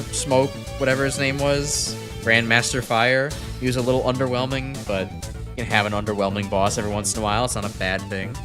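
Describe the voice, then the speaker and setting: A congested man giving casual, rambling gameplay commentary as a streamer, full of fillers and restarts. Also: on the low side. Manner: rambling, self-correcting, frequent fillers. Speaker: gaming streamer. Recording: game music in background. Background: game music.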